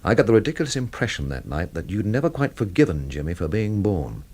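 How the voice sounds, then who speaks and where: An actor in a radio drama playing a cool, sardonic young investigator, speaking in a dry, measured way. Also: male voice, on the low side, big pitch swings, darkish tone. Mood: cool, probing. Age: young adult. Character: cool, sardonic young investigator narrating his own mystery. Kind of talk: radio drama narration and dialogue.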